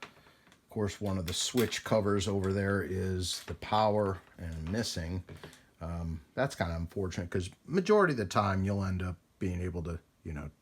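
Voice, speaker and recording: Low, husky-voiced man rambling casually. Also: normal speed. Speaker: host demonstrating vintage radio equipment. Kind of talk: casual hands-on vlog narration.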